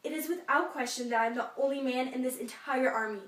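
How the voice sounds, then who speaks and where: A distant, echoing recording of a proud Civil War general performed by a woman in a fairly high voice. The delivery is formal, pompous oratory, full of boastful bitterness over being dismissed, like a stage soliloquy. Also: fast, a bit bright. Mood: grave, disdainful. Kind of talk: memorized history class soliloquy. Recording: echoey room, distant microphone.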